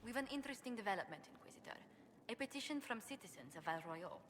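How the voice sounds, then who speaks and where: An actress playing a formal advisor in a video game, presenting cases in a measured, dry way. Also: female voice, fairly high pitch, expressive, background noise, close to an everyday voice.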